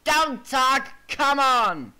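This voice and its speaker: Bright, boastful red steam engine. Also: medium pitch, unhurried. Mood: bossy, impatient. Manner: puffing repeated exclamations, boastful and bossy. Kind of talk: children's audio storybook with music.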